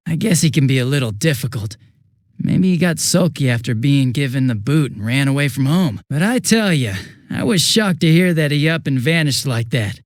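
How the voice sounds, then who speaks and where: Goofy, high-pitched rookie-like detective. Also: male voice, no room sound, studio quality. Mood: casual, puzzled. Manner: casual, flustered, rambling. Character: goofy, chatty, hapless young male police detective. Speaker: police detective character. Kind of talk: English video game voice acting.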